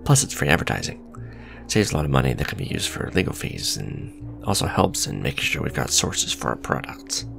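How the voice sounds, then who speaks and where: A man playing a smug, suave villain businessman in a romantic ASMR audio drama, sardonic and conversational, teasing, with a low gravelly voice. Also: big pitch swings, fairly quick, music in the background, heavily acted. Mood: smug, matter-of-fact. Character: smug male villain, suave luxury fashion businessman on a date.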